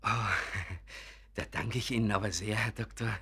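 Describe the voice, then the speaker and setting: An actor playing a nervous, hopeful amateur artist in a radio drama, deferential and repeating himself obsequiously. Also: male voice, fairly low pitch, fairly even intonation, measured pace, bright, forceful tone, husky, exaggerated voice. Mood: grateful, eager. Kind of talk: German radio drama acting.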